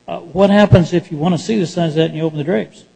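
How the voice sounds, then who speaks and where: A man's voice: on the high side, quick pace, gravelly, dark tone, breathy edge. A man on a city council asking blunt, wry questions at a meeting. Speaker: council member. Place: council chamber. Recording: reverberant hall.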